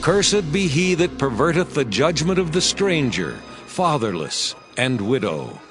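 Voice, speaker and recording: A man's voice: on the high side, very animated, unhurried, music in the background. An actor playing a stern Levite priest pronouncing curses in a declamatory, dramatized King James Bible reading. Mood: stern condemnation.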